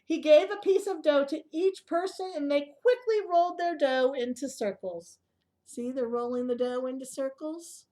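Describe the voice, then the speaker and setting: Higher-voiced woman reading to young children. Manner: expressive, child-directed, pausing to ask listeners questions. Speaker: early childhood storyteller reading a picture book aloud. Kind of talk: storybook read-aloud for young children with asides.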